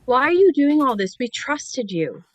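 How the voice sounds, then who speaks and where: A woman's voice: high-pitched, very animated, measured pace, slight background noise. A woman playing a loyal, feisty passenger on a hijacked jet, speaking emotionally in a script read. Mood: angry. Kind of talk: screenplay table read acting.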